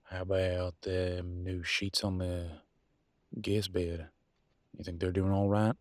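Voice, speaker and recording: A man acts out a deep-voiced werewolf pack leader in a relationship drama, worn down and bitter. He talks in a folksy, colloquial way with a sarcastic edge and deliberate pauses. The sound is close and dry. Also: level tone, darkish tone, no room sound. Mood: weary, sarcastic. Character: weary, embittered male werewolf pack leader and husband. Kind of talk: dramatic one-sided relationship roleplay audio.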